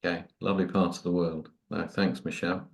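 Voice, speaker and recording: Very low-voiced man chairing introductions. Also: level tone, brisk, gravelly. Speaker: course host.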